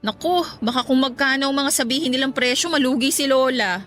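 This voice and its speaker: Affectionate younger Filipina, high voice. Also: female voice, fairly quick, a bit bright. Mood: light, curious. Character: affectionate, teasing married granddaughter-like younger woman. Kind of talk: Filipino radio drama acting.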